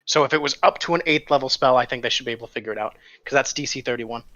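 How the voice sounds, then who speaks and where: A man's voice: mid-range voice, rapid-fire, pushed and bright. A man chatting casually as a player in a tabletop roleplaying game. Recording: dry, close sound with muffled band, faint music. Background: faint background music.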